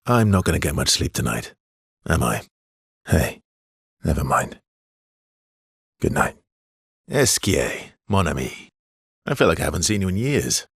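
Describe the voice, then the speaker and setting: An actor playing a world-weary, guarded swordsman in a video game, speaking in an understated, conversational way with a husky, gravelly voice and a dry, wry affection. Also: sits low, no room sound, heavily acted. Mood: quiet, wry, then fond, teasing. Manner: understated, hesitant, dryly teasing. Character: world-weary adult male expedition swordsman, guarded but wryly affectionate.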